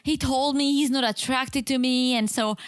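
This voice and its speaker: A woman co-hosting a casual podcast, her voice sitting a little high. She talks in a lively way and slips into the voices of the people she quotes. The sound is dry and close. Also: normal speed, no room sound. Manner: animated, acts out quotes from the show, frequent restarts. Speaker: podcast co-host. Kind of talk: casual chat reviewing a reality show.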